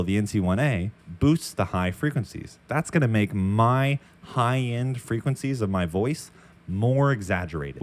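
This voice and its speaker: A man's voice: mid-range voice, a little mellow. A young man in his twenties hosting a casual Q and A vlog, explaining microphone choices in a frank, chatty way, recorded close on a dynamic mic in a makeshift space. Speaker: audio YouTuber. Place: temporary makeshift room near a construction site.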